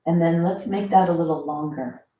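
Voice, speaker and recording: A woman leading a guided breathing meditation in a gentle, instructional way, leaving pauses between short phrases. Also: on the low side. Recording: roomy, echoing sound.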